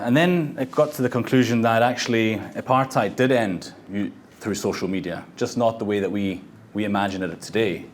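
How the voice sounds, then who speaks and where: A man who grew up in South Africa giving a meetup pitch talk, halting, with frequent fillers and restarts. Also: slight background noise. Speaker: startup founder presenting. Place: event venue.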